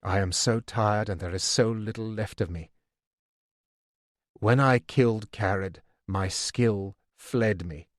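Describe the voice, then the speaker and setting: A weary, failing old king speaks in a low, hushed voice, as a man acts him out in an audiobook. His delivery is slow and heavy, and the recording is close and dry. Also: dry recording. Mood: weary, grave. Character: weary, failing aging king, grave and soft-spoken.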